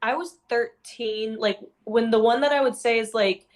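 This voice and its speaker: A woman's voice: fairly high pitch, moderate pace. A young woman, a guest on a radio show, chatting casually and filling her talk with "like". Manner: chatty, many "like" fillers. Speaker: DJ duo member, guest. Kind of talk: casual interview chat.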